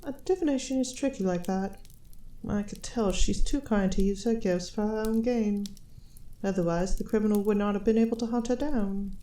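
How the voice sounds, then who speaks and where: A woman playing an elf queen and mother, speaking in a formal, measured, gracious and gently teasing way in a fantasy audio roleplay. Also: mid-range voice, a little hiss or hum, lightly acted. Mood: fond, assured. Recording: roomy, reverberant sound.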